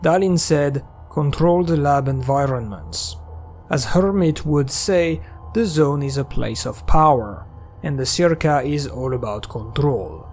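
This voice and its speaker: A man with a somewhat high-pitched voice reads scripted commentary as a video narrator. His delivery is measured and explanatory. Game soundtrack music plays behind him. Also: expressive, a little mellow. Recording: music under the voice.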